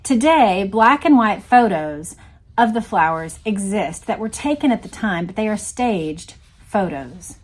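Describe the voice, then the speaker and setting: A woman reads a first-person memoir aloud in an expressive, emphatic storytelling manner. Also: mid-range voice, unhurried. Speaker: reader of a book aloud.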